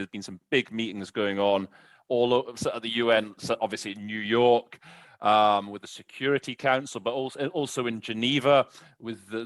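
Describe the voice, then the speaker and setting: A man's voice: medium pitch, a bit bright. A man hosting a show in a casual, chatty way, rambling and often correcting himself as he explains things. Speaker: host. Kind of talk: casual spoken introduction to an interpreting practice show.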